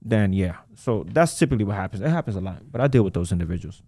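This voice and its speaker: A man who runs a credit repair business, talking casually in an interview and acting out his callers in quick voices. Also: on the low side, lively intonation, warm tone, no echo, clean studio sound. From United States.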